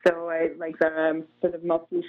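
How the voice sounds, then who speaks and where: A woman from Canada, a dancer and doctoral student, answering interview questions chattily and filling pauses with "you know" and "like.". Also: sits low, completely flat delivery, no room sound. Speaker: guest, dancer and PhD student. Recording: tinny phone-like line, dry.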